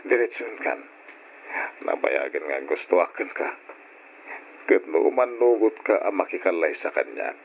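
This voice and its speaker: An actor playing a domineering, wealthy older suitor with an Ilocano accent, in old radio drama style. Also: male voice, deep voice, expressive, moderate pace, vocal fry, long pauses, slight background noise. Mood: insinuating, insistent. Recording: dull lo-fi radio drama recording.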